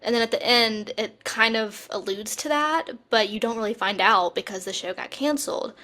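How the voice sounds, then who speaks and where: Female podcast co-host, slightly high voice, casual and chatty, informal delivery with animated asides. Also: normal speed. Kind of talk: casual chat about TV shows.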